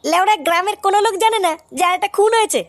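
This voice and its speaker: An excitable young cartoon club member with an extremely high voice. The delivery is very quick, brash and slangy, always ready to argue. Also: no room sound. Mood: brash, argumentative. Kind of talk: crude comic cartoon dub.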